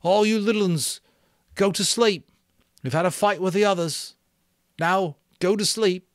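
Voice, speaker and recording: A man's voice: high voice, unhurried, no room sound, studio quality, pitched above the performer's own voice. A man voicing a weary young boy leader, terse and bossy, in a dramatic audiobook reading. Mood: strained, commanding.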